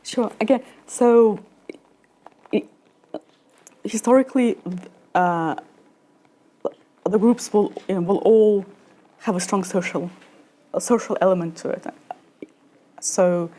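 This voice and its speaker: A woman in her thirties giving a conference talk and answering questions, halting with many fillers and restarts. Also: medium pitch, dark tone. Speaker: conference speaker. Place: lecture room at a conference.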